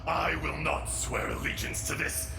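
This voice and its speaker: An actor playing a proud, wrathful ancient dragon spirit in a video game, with gravelly, booming, scornful declarations. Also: male voice, flat delivery, a little slow. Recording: game audio with music behind.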